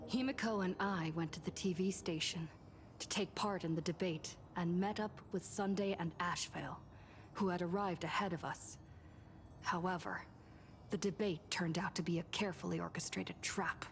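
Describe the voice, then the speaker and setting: A woman playing a composed young game heroine, narrating past events in a measured, serious scripted style. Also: lowish voice, steady tone, natural voice. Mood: solemn, measured. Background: music.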